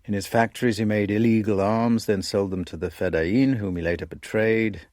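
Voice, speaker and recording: An actor as deep-voiced, dry journalist narrator. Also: male voice, steady tone, moderate pace, darkish tone, no room sound, natural voice. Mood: dry, grave. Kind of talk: dramatised first-person narration.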